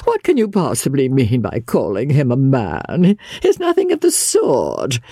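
A man voicing a languid, peevish gentleman invalid in an audiobook, with a fussy, affectedly fragile, sarcastic drawl. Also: mid-range voice, huge pitch swings, unhurried, slightly rough, no room sound, clean studio sound, voice pushed up, full character voice. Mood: sarcastic, astonished. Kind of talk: dramatised audiobook character voice.

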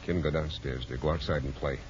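A man's voice: rumbling low, steady tone, fairly quick. An actor playing a troubled, doting father with a bitter streak in a 1950s radio drama. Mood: curt. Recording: dull, hissy old radio recording.

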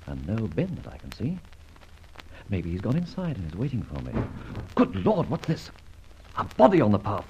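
A cool-headed gentleman safecracker from an old-time radio serial. He is debonair and unhurried, with dramatic swings of pitch and quaint exclamations. The vintage recording is dull, with faint hiss behind him. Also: huge pitch swings. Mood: alarmed.

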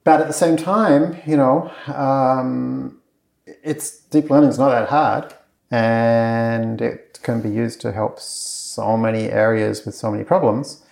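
A man's voice: medium pitch, steady tone, slow pace, gravelly, darkish tone. A man being interviewed in casual conversation, talking in a loose, rambling way with lots of fillers and restarts. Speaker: course creator being interviewed.

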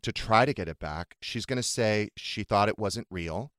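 A man's voice: on the low side, normal speed, a bit bright, dry recording. A man hosting a talk show with casual studio banter, telling gossipy stories in a sardonic manner. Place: dry radio studio.

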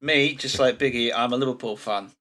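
A man, hosting a casual podcast chat in a relaxed, rambling way with frequent ums. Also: medium pitch, a little fast. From England. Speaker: podcast host.

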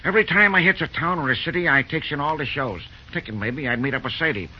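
A man acting in an old-time radio drama as a humble, wistful drifting railroad fireman, with an American accent, folksy rustic grammar and a halting delivery. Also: fairly high pitch, lightly acted. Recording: dull, noisy old radio recording.

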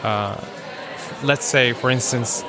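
A man of medium pitch moderates an informal discussion, feeling his way through long thoughts with frequent pauses. Music plays clearly behind his voice. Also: steady tone, a crowd in the background. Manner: hesitant, searching, pausing often. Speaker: panel moderator.

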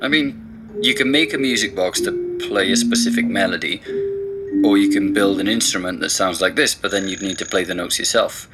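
A man's voice: low voice, brisk, very noisy, close to an everyday voice. An actor playing a sceptical, inquisitive man helping an investigation in a naturalistic audio drama.

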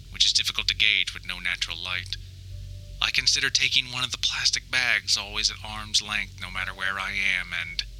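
Man acting a bright-voiced, melodramatic trapped diarist. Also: sits low, low-quality recording. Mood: despairing. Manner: grandiose, theatrical, formal wording. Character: trapped, melodramatic grown son keeping a survival diary. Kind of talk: scripted audio diary monologue, mock-survivalist narration.

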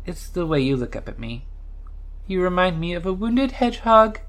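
An actress playing a frank, rule-minded woman in an audio drama, speaking in a deliberate, dry way. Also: on the low side, big pitch swings. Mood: dryly fond.

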